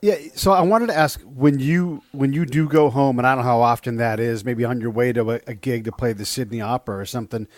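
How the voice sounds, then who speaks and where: A man's voice: medium pitch, fairly quick, hardly any pauses, no echo, studio quality. A man co-hosting a podcast, asking the guest long, winding questions. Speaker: podcast co-host. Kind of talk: casual interview questions.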